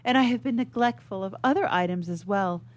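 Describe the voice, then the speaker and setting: A woman's voice: mid-range voice, brisk, natural voice. A woman playing a sweet, devoted young daughter, speaking earnestly and formally in a melodramatic period stage drama. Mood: dutiful, tender.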